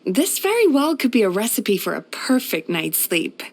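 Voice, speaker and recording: A woman playing a gentle, caring female psychologist in a video game, speaking in a soothing, thoughtful, measured and softly playful manner. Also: on the high side, very animated. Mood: pleased, serene. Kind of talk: scripted video game character voice lines.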